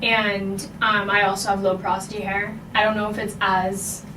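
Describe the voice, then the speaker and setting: A woman's voice: medium pitch, little pitch movement, normal speed, reverberant, far from the mic, background noise. A young woman of twenty, a podcast guest explaining with easy confidence in casual chat. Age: young adult.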